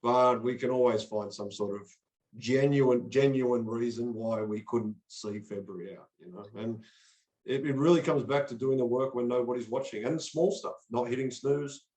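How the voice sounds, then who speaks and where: An earnest man from Australia talks like a motivational coach, often doubling words as he gets going. His voice sits at an ordinary pitch. The room around him is echoey. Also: moderate pace, a little mellow. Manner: earnest, motivational, repeats words when starting phrases. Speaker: podcast guest, coach. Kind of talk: conversational interview.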